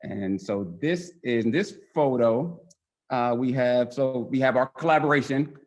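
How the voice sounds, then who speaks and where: Man, medium pitch, casual guest presenter explaining a story haltingly. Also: a little mellow, muffled recording. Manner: hesitant, repeats phrases. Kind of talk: casual explaining of a picture book.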